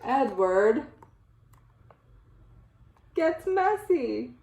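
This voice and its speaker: Female storybook reader, teacher type: higher-pitched, expressive, deliberate phrasing, echoey room. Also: gravelly. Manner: animated, deliberate phrasing with dramatic sound-effect words. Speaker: teacher reading to children. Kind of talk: expressive children's storybook read-aloud.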